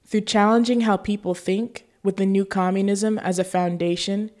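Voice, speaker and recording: A woman hosting a show, reading scripted narration and appeals to viewers in an earnest, deliberate way. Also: mid-range voice, steady tone, a little mellow. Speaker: show host.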